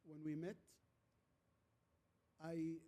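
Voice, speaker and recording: A man with an Arabic accent, a native Arabic speaker, telling stories as a guest in a fireside chat, haltingly, repeating words and landing on short emphatic phrases. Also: a little high, level tone, warm tone. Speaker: guest speaker, transformation leader. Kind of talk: fireside chat, anecdotal storytelling.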